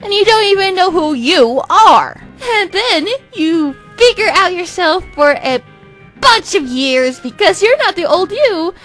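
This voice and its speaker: Woman voicing a manic, theatrical male twin, high-pitched. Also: very animated, unhurried, fairly bright tone, faint music behind, exaggerated voice. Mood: agitated, hurt. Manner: theatrical, foul-mouthed, rambling. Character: manic, crude, wounded creative twin Remus, male. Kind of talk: fan comic dub acting.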